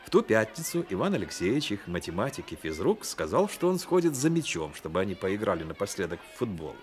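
A man narrating a radio play as a dramatic storyteller, measured and expressive. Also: lowish voice.

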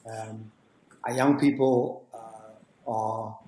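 A man in his late forties, a youth ministry leader, speaking on a video call panel in a relaxed, rambling way with lots of fillers. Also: on the low side, drawn-out words. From South Africa. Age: 40s. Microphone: video call webcam. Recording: echoey room with faint noise.

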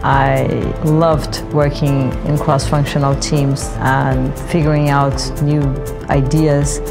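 Woman, from Brazil, deep-pitched, measured and keen, documentary interview, music bed. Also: flat delivery, vocal fry. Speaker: senior project manager.

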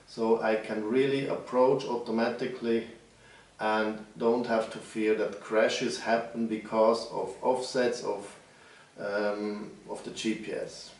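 A man in his forties explains his work in halting. The pace is slow and unscripted. The room rings heavily around his voice, which sits at some distance from the microphone. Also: mid-range voice. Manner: halting, hesitant delivery. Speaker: developer presenting his project. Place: bare, echoey living room. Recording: echoey room, distant mic.

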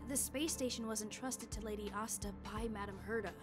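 A woman's voice: mid-range voice, steady tone, a little fast, faint music behind, close to an everyday voice. A woman voicing an earnest injured young guard. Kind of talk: game dialogue voice acting.

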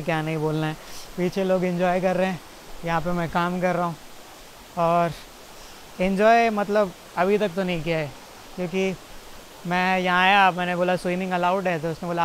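A man's voice: high-pitched, level tone, a little fast, a little hiss or hum, low-quality recording. Awkward young man rambling outdoors. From India. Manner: awkward, self-mocking, swears freely. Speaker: stand-up comedian. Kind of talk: rambling outtakes to camera, then stand-up set. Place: outdoors by a jungle stream.

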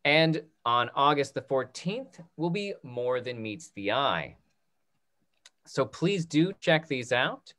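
A man's voice: medium pitch, sing-song, slow, a bit bright. A man in his thirties informally making announcements as host on a video call, encouraging and a little hesitant. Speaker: instructor hosting a theatre talkback. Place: indoor room on a video call. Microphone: computer microphone on video call.

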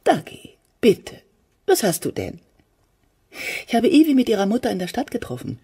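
A woman's voice: mid-range voice, wildly swooping pitch, normal speed, dark tone, slightly rough, recorded from a distance, full character voice. An actress playing a fussy, talkative, needy middle-aged mother in a German radio play. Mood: nagging, coaxing.

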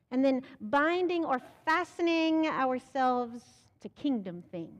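A woman's voice: fairly high pitch, big-room sound. A young American woman pastor preaching a sermon from the pulpit of a church sanctuary, asking rhetorical questions and building to emphatic, rising lines. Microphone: pulpit microphone.

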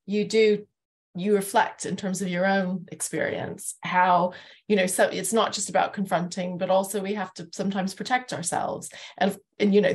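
A woman in her forties hosting a thoughtful panel discussion. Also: fairly low pitch. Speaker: host, moderator. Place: living room, indoors. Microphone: video call, laptop.

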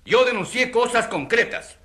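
A man's voice: very high-pitched, animated, moderate pace, fairly bright tone. A man playing an outspoken, indignant schoolteacher, combative and challenging in a radio courtroom drama.

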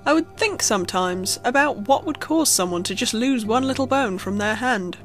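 A woman's voice: fairly high pitch, expressive, moderate pace. Woman narrating a horror story over music. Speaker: creepypasta narrator. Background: background music.

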